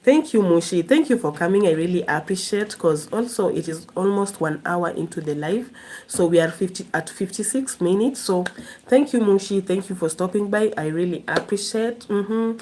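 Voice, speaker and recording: A woman's voice: medium pitch, expressive, normal speed, dark tone. A woman from Kenya, hosting a casual live stream, chatting and laughing as she answers viewers' comments.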